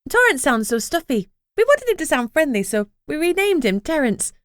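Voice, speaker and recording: A woman's voice: high voice, sing-song, moderate pace, dry recording, clean studio sound, pitched above the performer's own voice. A woman voicing a female sentient house who talks in a playful, pouting way. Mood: teasing. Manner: playful, pouty. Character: sassy female sentient house, proud of menace.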